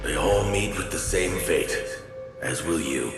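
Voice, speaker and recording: Ancient male warrior spirit, fantasy game. Deep, ponderous, scornful, portentous; distant and heavily reverberant. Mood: scornful, menacing. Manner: slow, archaic, portentous delivery. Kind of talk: dramatic video game voice acting. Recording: distant, cavernous reverb over loud music.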